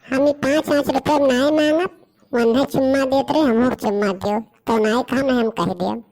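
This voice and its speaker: A woman's voice: high-pitched, fairly even intonation, moderate pace, a little mellow, voice pushed up, low-quality recording. A woman voicing a nosy, cheeky village youngster in a cartoon, accusing and bargaining in an Awadhi accent. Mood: cheeky, demanding.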